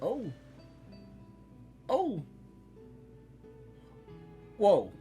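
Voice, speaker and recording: A woman reacting live to a video game story in an excitable, exclamatory way. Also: medium pitch, very slow, husky, faint music behind.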